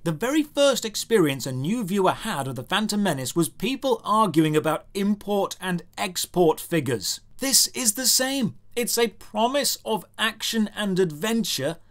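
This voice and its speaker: A man's voice: sits high, lively intonation, measured pace, few pauses. A British man narrating a scripted comedic game review in a sarcastic, mock-grandiose, theatrical manner. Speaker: video game reviewer and host.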